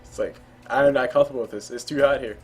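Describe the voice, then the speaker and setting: A man's voice: medium pitch, fairly even intonation, quick pace, over music. A man hosting a casual interview, chatty and joking, laughing often. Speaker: interview host.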